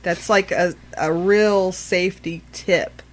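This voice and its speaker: A woman's voice: on the low side, steady tone, slow. A woman co-hosting a casual show, earnestly explaining safety tips at length. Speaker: podcast co-host. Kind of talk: casual chat.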